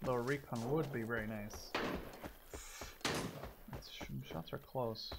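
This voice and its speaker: A man's voice: mid-range voice, slow pace, vocal fry, very noisy. A man giving casual, rambling, self-critical commentary while playing a game, thinking aloud. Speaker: gaming video host. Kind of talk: casual first-person gameplay commentary. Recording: voice over loud game gunfire, artillery. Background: video game gunfire and artillery.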